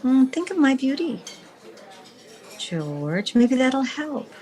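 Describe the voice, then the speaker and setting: Slow, animated, self-assured lady publisher played by a woman. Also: sits high, full character voice. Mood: teasing, exasperated. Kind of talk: old-time radio comedy acting.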